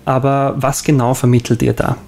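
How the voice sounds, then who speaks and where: Slow-talking radio host, man. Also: medium pitch, level tone, gravelly, darkish tone. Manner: slow, halting questions with fillers. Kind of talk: radio interview.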